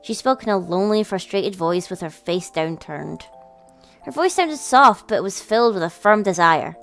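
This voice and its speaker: A woman's voice: medium pitch, lively intonation, moderate pace, a bit bright. A woman hosting a let's play, narrating a visual novel expressively with dramatic storytelling and casual asides. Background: game music.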